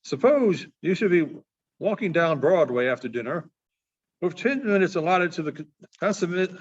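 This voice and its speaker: A man reading short stories aloud in an expressive storytelling style, as a librarian does. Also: sits high. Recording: dull, muffled lo-fi sound.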